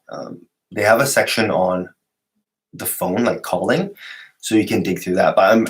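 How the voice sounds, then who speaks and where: A man hosting a relaxed live stream, answering viewer questions. He's a little sick, which drops his voice lower than usual, and he rambles with fillers and restarts. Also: rough recording.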